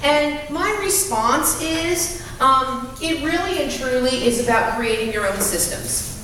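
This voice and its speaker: A middle-aged woman from California giving an energetic, self-deprecating motivational talk to a live audience. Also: sits high, measured pace, a little hiss or hum. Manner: energetic, self-deprecating, speaks about having a loud voice. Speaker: guest speaker. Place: meeting room with small audience. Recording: echoey room, speaker far from mic.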